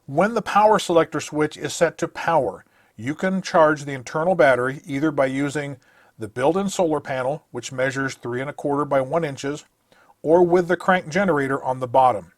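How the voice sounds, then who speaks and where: A man's voice: a little high, normal speed, no echo, clean studio sound. A man narrating a scripted product review, listing features in a methodical, matter-of-fact way.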